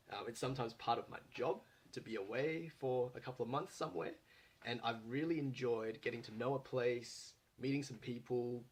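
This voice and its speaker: A man in his late twenties tells his own travel adventures in a casual video pitch. He speaks at a middle pitch, earnest and friendly, often pausing on fillers and restarting his sentences. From Australia. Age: 20s. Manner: earnest and friendly, with frequent fillers and self-corrections. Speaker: applicant pitching himself as a travel host.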